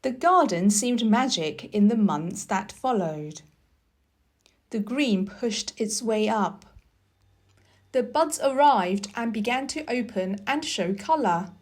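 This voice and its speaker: Slow, expressive woman reading a storybook. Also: mid-range voice. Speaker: audiobook reader. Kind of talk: scripted storybook narration for learners.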